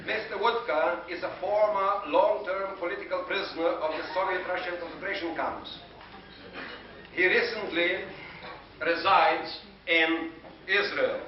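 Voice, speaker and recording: A man whose native language is Ukrainian speaks formally as a master of ceremonies, introducing guests in a courteous, deliberate, ceremonious manner. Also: sits high. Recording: muffled noisy 1980s hall recording, distant, echoey.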